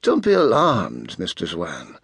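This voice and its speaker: A man voicing a pale, testy adolescent mastermind in a soft, clipped voice, speaking formally and with authority in an audiobook. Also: low voice, wildly swooping pitch, measured pace, vocal fry, husky, no room sound, pitched below the performer's own voice, full character voice. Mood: cool, menacing. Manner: clipped, precise, formal speech.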